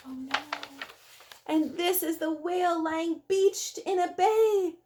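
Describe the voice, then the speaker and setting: A woman reading a picture book aloud to young children in an expressive way, with dramatic emphasis, speaking straight to her listeners. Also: very high voice, slow pace, noisy background. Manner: addresses young listeners directly with questions.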